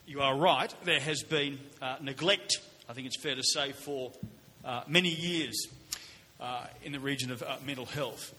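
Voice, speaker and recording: Bright-voiced Australian man in an echoey hall. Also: medium pitch. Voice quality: loud voice. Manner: halting, stammering repeats of words. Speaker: politician on a panel. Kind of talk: answering a question at a public forum. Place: large indoor hall, public forum. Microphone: shared event microphone. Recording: echoey hall with background noise.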